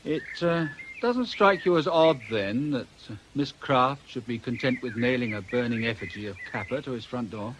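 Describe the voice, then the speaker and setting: An actor playing a brisk, irreverent police inspector in a British radio drama, with a dry, wry, colloquial way of talking. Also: male voice, mid-range voice, natural voice. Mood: sardonic, irritated. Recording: noisy old radio drama recording.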